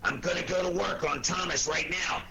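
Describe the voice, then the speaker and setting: An actor playing a blustery, irritable police inspector with an American accent and a gruff, gravelly, bossy delivery in a 1940s radio drama. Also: male voice, high voice, moderate pace. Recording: noisy 1940s radio recording.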